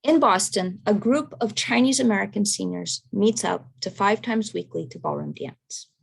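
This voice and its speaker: A woman presenter reading a prepared research talk in a measured, careful way over a video call. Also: medium pitch, creaky, husky, poor audio. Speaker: academic presenter.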